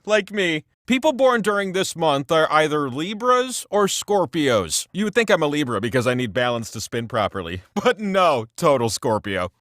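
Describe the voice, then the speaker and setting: An actor voicing a boastful, petty, all-powerful talking wheel, hosting a comedic quiz game with theatrical delivery and chatty, wry asides. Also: male voice, high-pitched, bright, forceful tone, no room sound, clean studio sound, exaggerated voice. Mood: self-satisfied.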